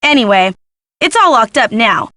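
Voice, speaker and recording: Game role: young woman photojournalist, nosy and sardonic, forceful bright tone, close dry sound. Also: a little high, big pitch swings, normal speed, dry recording. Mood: chatty, sly. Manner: gossipy, sardonic, dramatic emphasis. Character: gossipy, snarky young female photojournalist snooping for scandal. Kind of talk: video game character dialogue.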